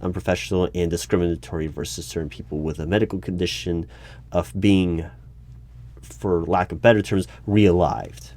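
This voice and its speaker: A man's voice: sits low, warm tone, natural voice. A man playing an exasperated, bureaucratic human resources manager in a one-sided interview roleplay, hesitating and stammering when flustered. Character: exasperated, officious human resources hiring manager at secretive agency. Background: computer keyboard typing.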